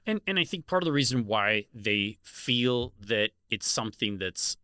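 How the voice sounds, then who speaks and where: A middle-aged man co-hosting a podcast, talking in a relaxed, earnest way with many fillers. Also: medium pitch, moderate pace, dry recording, studio quality. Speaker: podcast co-host. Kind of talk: casual podcast discussion.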